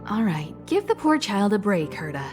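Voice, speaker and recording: A woman's voice: medium pitch, big pitch swings, normal speed, over music, natural voice. A woman playing a composed, patient and lightly wry female guide in an English video game dub. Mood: wry, calm.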